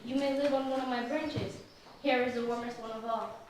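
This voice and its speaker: Woman playing a gentle tree, fairly high-voiced. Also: steady tone, fairly quick, slight background noise, close to an everyday voice. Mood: gentle, welcoming. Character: welcoming, gentle spruce or pine tree. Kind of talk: puppet show stage acting. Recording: echoey distant room, crowd behind.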